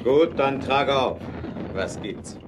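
A visionary inventor in an audio play. He speaks in a mid-pitched voice with deliberate, theatrical emphasis, earnest and brooding, utterly set on his idea. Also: animated, background noise. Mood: weary, gruff. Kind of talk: German audio drama acting.

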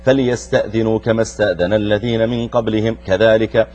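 A man's voice: lowish voice, fairly even intonation, fast talker, a little mellow, highs cut off. A middle-aged man from Arabia, a preacher hosting a youth show who talks to a young audience and asks them questions. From Saudi Arabia. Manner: engaging, addresses listeners directly, asks them questions. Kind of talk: lively talk to a young audience.

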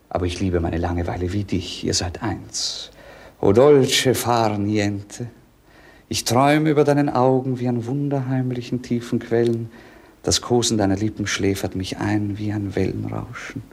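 A man's voice: mid-range voice, a little slow, breathy edge. A male actor playing a bored, melancholy young prince in a 1950s radio play, lyrical and declamatory. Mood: languid, bored. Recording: 1950s radio drama recording.

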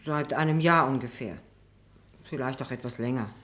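A woman's voice: a bass voice, moderate pace, a bit bright. An actress playing a guarded, indignant female witness in a German radio courtroom drama, giving evasive, clipped answers. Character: defensive, indignant female witness, a stenotypist, under cross-examination.

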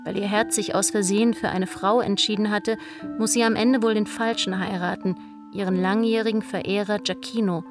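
A woman narrates a story from a script at a medium pitch. Instrumental music plays clearly underneath her voice. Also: fairly even intonation, moderate pace.

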